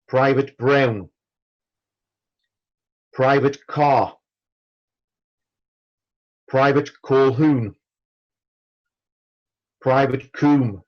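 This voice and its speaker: A man reads out a memorial roll call at an extremely slow, measured pace. His delivery is formal and solemn, with a pause after each entry. His pitch is average for a man, and the recording sounds slightly dull and lo-fi. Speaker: veterans' branch chairman reading a roll call.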